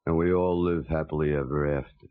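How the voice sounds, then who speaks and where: Very deep, terse commando hero. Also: male voice, steady tone, fairly quick, no room sound. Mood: gruff, sardonic. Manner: terse, sardonic. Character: terse, gruff battle-hardened American commando hero. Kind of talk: children's audio story acting. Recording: dull, noisy vintage cassette.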